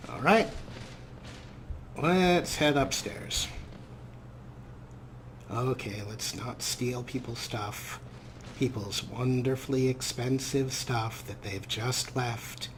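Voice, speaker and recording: Guy narrating his game playthrough real slow, dry humor, casual, slight background noise. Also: medium pitch. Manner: wry, unhurried, talks to himself. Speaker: let's play host. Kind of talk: casual gameplay commentary.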